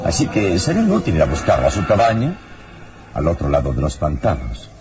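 A heroic adventurer in a radio serial. His voice is deep and serene, with deliberate, measured phrasing. Loud dramatic music runs underneath. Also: huge pitch swings, full character voice. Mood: composed, thoughtful. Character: calm, serene heroic adventurer investigating murders.

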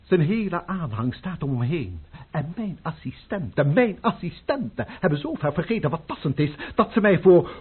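A man's voice: fairly high pitch, sing-song, moderate pace, slightly rough, narrow, tinny audio, full character voice. A man playing a pompous, fussy old French chef in a radio play, full of dramatic outbursts. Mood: indignant. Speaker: chef Anselme.

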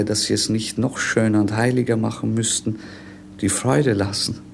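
A melancholy young prince of a vintage radio drama, low in pitch and given to lyrical declamation. He is dreamy and theatrical, on an old noisy recording. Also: vocal fry. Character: young romantic prince, melancholy, rapturous, world-weary dreamer. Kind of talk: 1950s German radio play acting.

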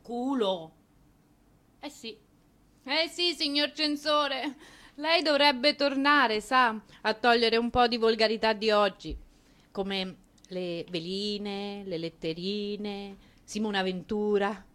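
A woman narrating a theatrical monologue as a stage performer, with an ironic, expressive manner. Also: on the high side, slow pace.

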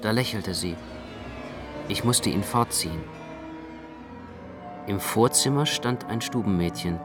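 Male radio-play narrator, lower register, measured literary reading, music bed. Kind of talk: literary first-person scripted narration.

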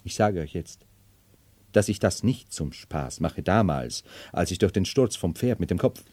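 A bitter nobleman who pretends to be a mad emperor in a radio drama. His lowish voice is theatrical and mocking, moving between brooding and command, recorded very dry. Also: animated, unhurried, warm tone, slightly rough, no echo, exaggerated voice. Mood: brooding, unsettled. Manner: theatrical, scornful, swinging from brooding to commanding outbursts. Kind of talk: 1970s German radio play acting.

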